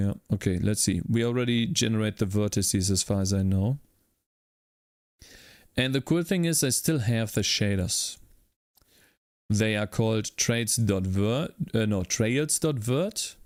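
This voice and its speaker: A man's voice: on the low side, a little mellow, dry recording. Man livestreaming code with dry sound. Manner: thinks aloud while coding, greets chat by name. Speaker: livestreaming game developer. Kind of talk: casual live coding stream chat.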